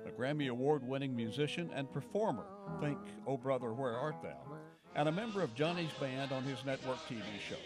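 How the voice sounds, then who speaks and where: A man's voice: mid-range voice, a little fast, loud music behind the voice. A man reading scripted narration for a television news feature as the reporter.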